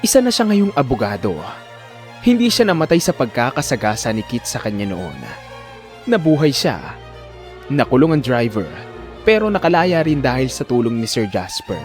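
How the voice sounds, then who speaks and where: A man's voice: medium pitch, sing-song, moderate pace. A man narrating a scripted audio drama in a suspenseful, theatrical manner. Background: music.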